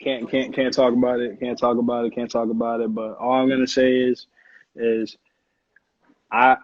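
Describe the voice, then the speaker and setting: A man's voice: mid-range voice, flat delivery, moderate pace. Young American man, dull lo-fi sound. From Connecticut, USA. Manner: slangy, informal. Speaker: music producer, interview guest. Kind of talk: casual chat.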